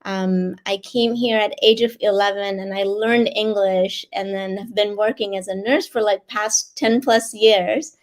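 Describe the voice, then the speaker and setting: A raspy-voiced woman from Iran, sharing as a guest author over an online video call. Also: medium pitch, fairly even intonation, unhurried, few pauses. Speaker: guest author and life coach. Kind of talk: personal sharing and reading aloud.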